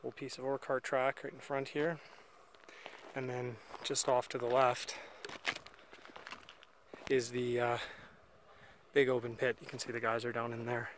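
A man's voice: mid-range voice, little pitch movement, a little slow, pauses often, a little hiss or hum. A man filming and casually narrating a walk through an old mine site outdoors, thinking aloud with fillers on a camera mic. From Canada. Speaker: mine explorer filming a walkthrough.

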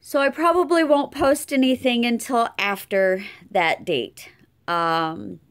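A middle-aged woman giving a casual update from home, tired and a little hoarse, chatting with many fillers. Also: on the high side. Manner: chatty, unhurried, lots of fillers. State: tired, losing her voice. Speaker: channel host. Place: cluttered room at home. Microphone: webcam close up.

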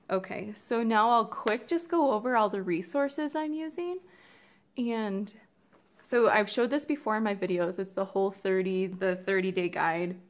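Chatty upbeat young woman vlogger. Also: mid-range voice. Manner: chatty, upbeat, many ums and likes. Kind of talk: casual how-to vlog. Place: home room indoors, then a desk.

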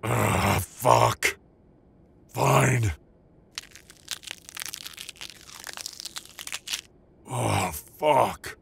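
A man plays a wounded dragon-shifter henchman in an audio roleplay. His mid-pitched voice is heavily fried and husky. He sounds strained yet stubbornly sarcastic and wisecracking. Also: extremely slow, a bit bright, exaggerated voice. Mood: pained. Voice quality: gravelly.

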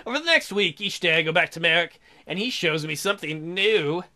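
A smitten young woman diver as a man acts her out in a romance visual novel. The voice is high and bright, gushing and earnest, sometimes hesitant. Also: animated, brisk, heavily acted. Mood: smitten, eager.

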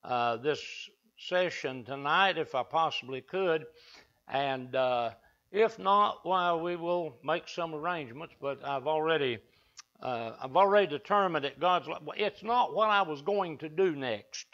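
An older Appalachian man preaches in a down-home way, now and then checking back with the pews. His voice is bright and fairly high. The sound is dull and lo-fi, picked up by a lectern mic in a church sanctuary. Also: lively intonation, unhurried. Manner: down-home, talks to the congregation and asks them back. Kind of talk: folksy evening sermon. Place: wood-panelled church sanctuary. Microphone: lectern mic from a far camera.

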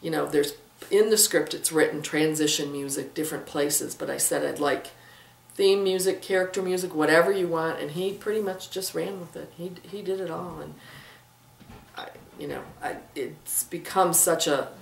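Low, creaky-voiced middle-aged woman. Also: fairly even intonation, moderate pace. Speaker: theater director. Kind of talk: casual interview. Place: indoors in a small book-lined room. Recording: roomy, distant mic, faint noise.

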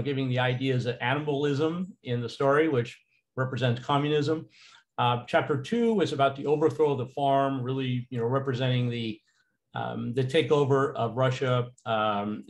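A man teaching a recorded slideshow lecture in a relaxed way, with frequent fillers. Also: medium pitch.

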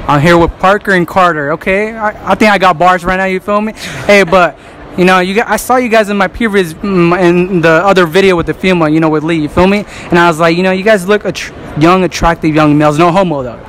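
A man's voice: sits high, steady tone, fast talker, fairly bright tone, slight background noise. A young man running a prank street interview in a shopping mall, talking into a handheld mic with cocky slangy banter and frequent laughs. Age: young adult. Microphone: handheld mic held near mouth.

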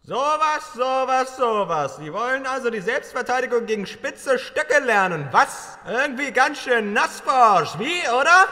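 Manic comedy drill sergeant: pompous, easily enraged, piercingly bright, barking in a reverberant hall. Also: male voice, extremely high pitch, expressive, measured pace, few pauses, heavily acted. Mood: outraged. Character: manic bullying military self-defence instructor, pompous, easily enraged. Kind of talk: German fan dub of sketch comedy.

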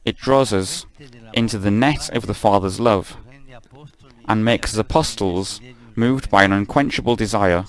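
A man giving a live spoken translation of a homily, measured, with frequent stumbles and self-corrections. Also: on the low side, low-quality recording.

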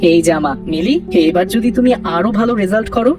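A woman voicing a devout, loving cartoon father who advises in a measured way. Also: mid-range voice, expressive, over music, natural voice. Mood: encouraging.

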